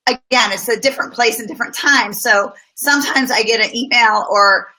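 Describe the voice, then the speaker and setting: A woman's voice: a little high, a little fast, pushed and bright, low-quality recording. A middle-aged woman talking through workplace experiences as a guest, thoughtful and full of personal examples. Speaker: guest speaker on business. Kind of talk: casual conversational interview.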